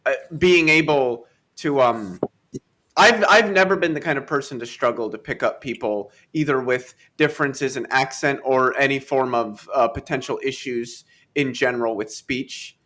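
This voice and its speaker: A man's voice: medium pitch, moderate pace, a bit bright. A man in casual chat, joking in a crude, sardonic way.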